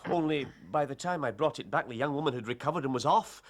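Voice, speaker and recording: An actor playing a sheepish, deferential police constable in a period drama, full of folksy excuses. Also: male voice, medium pitch, fast talker, close to an everyday voice. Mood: sheepish, explaining. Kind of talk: period detective drama acting.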